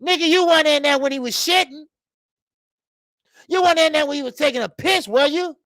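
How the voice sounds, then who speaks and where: A man in his fifties hosting a live boxing talk stream, loud and profane, rambling and greeting viewers by name. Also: very high-pitched, a little fast, dry recording, studio quality. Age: 50s. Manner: frequent laughs and stretches of filler. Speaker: boxing show host. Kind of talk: live-stream commentary chat.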